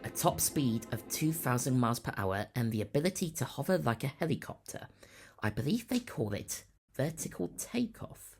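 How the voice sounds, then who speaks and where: A man acts out an elderly parish priest in an audio drama. He is gentle and crafty, with formal, polite phrasing and a lively melodic range over music. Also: mid-range voice, moderate pace, husky. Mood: calmly persuasive. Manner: genial, politely formal speech.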